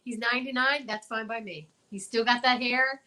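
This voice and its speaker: A woman co-hosts a casual comic chat show with a forceful, bright voice that sits fairly high. She talks in an animated, jokey way, gushing as she tells her stories. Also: moderate pace. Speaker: show co-host. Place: remote livestream from quarantine at home.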